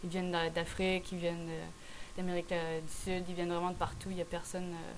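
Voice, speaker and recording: A young woman with a Québécois accent chats casually as a guest, rambling with plenty of fillers and slipping into English words. Her voice sits fairly low for a woman. Faint background noise runs under the recording. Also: monotone, quick pace, rough recording. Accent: Québécois French. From France. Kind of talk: casual chat.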